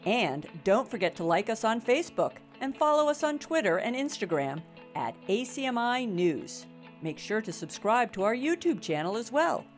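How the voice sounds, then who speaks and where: A woman's voice: a little high, very animated, normal speed. A woman announcer reading a scripted promotional outro for a news program. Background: faint music.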